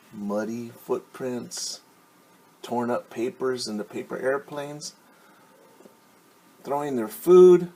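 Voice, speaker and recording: A man who is a teacher reading a picture book aloud to young children, pausing to explain things simply. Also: mid-range voice, a little mellow, breathy edge. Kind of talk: children's storytime read-aloud with asides.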